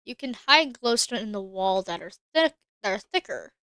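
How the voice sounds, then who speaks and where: A woman's voice: medium pitch, lively intonation, moderate pace, a bit bright, clear, resonant tone, no room sound. A woman streamer chatting casually while she plays a game, thinking aloud and answering her chat. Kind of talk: casual live-stream gameplay commentary.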